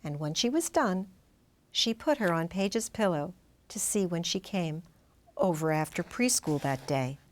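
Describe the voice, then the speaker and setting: Animated woman reading a storybook. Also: on the low side, measured pace, a little hiss or hum. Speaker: storybook reader. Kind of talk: expressive children's read-aloud narration.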